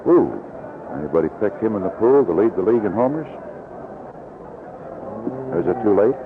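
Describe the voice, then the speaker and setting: A man's voice: on the low side, animated, moderate pace. A North American man calling baseball play-by-play as a live radio announcer. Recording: tinny, noisy vintage AM radio broadcast.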